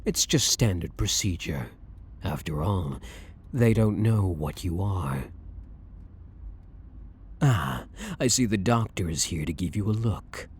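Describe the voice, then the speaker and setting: A man playing a blunt, brooding vampire hunter, himself a vampire, in a measured, dominant ASMR roleplay with a breathy voice. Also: low-pitched, lively intonation, a little hiss or hum. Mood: calm, guiding. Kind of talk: ASMR roleplay, dramatic monologue.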